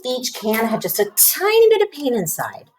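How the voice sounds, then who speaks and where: A woman reading a children's storybook aloud in an expressive narrating style. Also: a little high, normal speed, slight background noise. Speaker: storybook reader.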